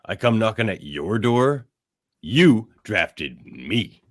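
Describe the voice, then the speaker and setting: A man playing a gruff, sardonic, defiant loner brawler with a blunt, taunting manner in a radio theatre read. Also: on the low side, big pitch swings, takes it slowly, no room sound.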